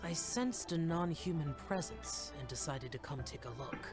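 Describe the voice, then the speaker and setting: A man's voice: a little high, moderate pace, soft background music, lightly acted. A man voicing a stern, reserved, youthful-looking ancient guardian in a video game, speaking formally and solemnly. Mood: solemn, aloof.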